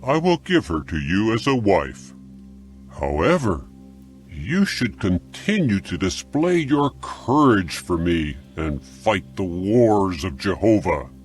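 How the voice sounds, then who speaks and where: An actor playing a jealous, scheming older king in a dramatized scripture audio drama, speaking slowly and broodingly. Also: sits low, big pitch swings, faint music behind, full character voice. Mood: calculating, falsely gracious.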